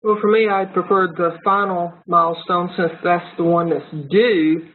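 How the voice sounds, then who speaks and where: A woman's voice: on the low side, steady tone, normal speed, a little hiss or hum. A woman speaks as a student in an online class, in a conversational way. Her audio is thin and narrow, as if coming over a phone line. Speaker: student attending a webinar.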